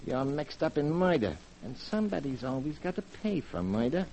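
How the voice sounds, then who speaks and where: An actor playing a threatening gangster blackmailer who talks in tough, clipped street slang, in a 1940s radio drama. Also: male voice, a little high, sing-song, normal speed, mellow. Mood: menacing. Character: menacing male gangster blackmailer, cold and threatening. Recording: muffled 1940s radio transcription.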